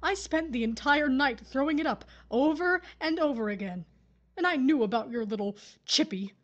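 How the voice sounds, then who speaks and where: An actress playing a grieving, embittered widow in an audio drama, stubborn, torn and exasperated. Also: female voice, high-pitched, animated, moderate pace, lo-fi sound. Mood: bitter. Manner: confrontational, exasperated.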